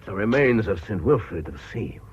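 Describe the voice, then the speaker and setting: An actor playing an assertive, suspicious amateur sleuth in a British radio drama, with dry, articulate delivery. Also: male voice, lowish voice, animated, normal speed, natural voice. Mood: dry, pointed. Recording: muffled radio drama recording, faint noise.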